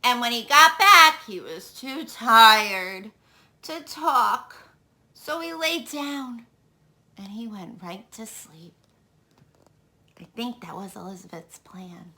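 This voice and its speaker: A woman tells a children's story in a high, bright voice. She is expressive and unhurried, addressing young listeners directly with questions. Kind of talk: animated children's read-aloud.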